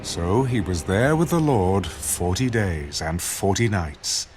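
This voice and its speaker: A man narrating dramatized scripture as a scripted reading, in a measured, formal storytelling manner. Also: low-pitched, sing-song, over music.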